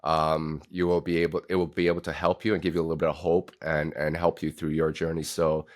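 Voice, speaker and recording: Man, low-pitched, podcast host, casual interview talk, encouraging. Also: little pitch movement, a little fast.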